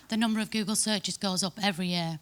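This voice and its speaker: Chatty Mancunian woman giving a talk. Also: medium pitch. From Manchester, England. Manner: chatty, frequent tag "yeah?" and restarts. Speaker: conference speaker. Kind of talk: conference talk. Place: conference hall.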